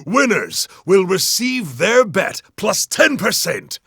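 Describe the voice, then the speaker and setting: An actor playing a pompous, scheming rich arena master who announces in a grandiose, drawn-out way in a video game. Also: male voice, high-pitched, big pitch swings, fairly bright tone, husky, no echo, studio quality, heavily acted. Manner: theatrical, grandiose, drawn-out delivery.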